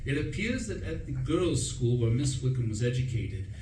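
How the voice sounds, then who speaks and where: Man playing a pompous, excitable English gentleman, roomy recording. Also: medium pitch, moderate pace, close to an everyday voice. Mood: smug, triumphant. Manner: indignant, emphatic, self-satisfied. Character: pompous, excitable upper-class young English gentleman, master of a valet. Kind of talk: stage radio play acting with first-person narration. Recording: roomy, distant stage recording, clear noise.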